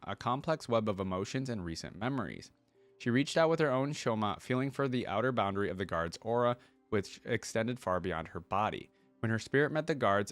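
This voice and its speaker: A man with a fairly low voice, recorded close and dry with hardly any room sound. He reads lore aloud in a narrating way and drops in loose, casual asides, in the style of an online reaction host. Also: no echo. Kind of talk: reading a story aloud with casual commentary.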